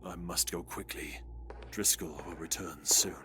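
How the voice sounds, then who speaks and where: A man playing a devout, fearful young count in a visual novel drama, halting and pleading. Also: sits low, steady tone, background noise. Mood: anxious resolve.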